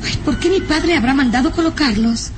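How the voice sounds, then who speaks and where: An actress playing a puzzled, frightened young noblewoman, halting and melodramatic, in an old radio serial. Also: sits high, background noise, close to an everyday voice.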